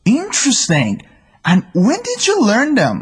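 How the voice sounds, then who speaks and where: Female, very breathy and high, wildly swooping intonation, textbook dialogue read. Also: wildly swooping pitch, normal speed.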